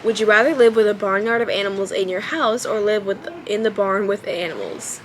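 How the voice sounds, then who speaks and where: Woman with a soft babyish voice. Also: medium pitch, fairly quick, slight background noise. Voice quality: childlike, baby-ish voice. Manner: relaxed, hesitant, many ums while thinking aloud. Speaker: vlogger. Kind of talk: casual talk to camera. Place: at home.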